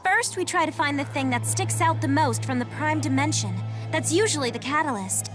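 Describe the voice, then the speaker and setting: An earnest, high-voiced young girl. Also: animated, brisk, music in the background. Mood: helpful. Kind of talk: scripted game dialogue.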